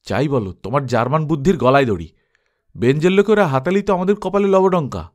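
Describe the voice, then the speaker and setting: A man voicing a hot-headed male adventurer in an audiobook, gruff, grumbling and threatening. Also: a little high, animated, quick pace, natural voice. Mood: angry.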